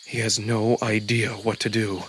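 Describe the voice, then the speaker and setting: A man giving a suspenseful, expressive first-person narration of a dramatic story. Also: fairly low pitch, normal speed. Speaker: narrator and voice performer. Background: background music.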